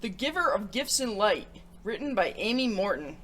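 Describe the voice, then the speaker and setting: A woman hosting a children's story show, reading aloud in an animated, expressive way. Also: mid-range voice, normal speed, a little hiss or hum, low-quality recording.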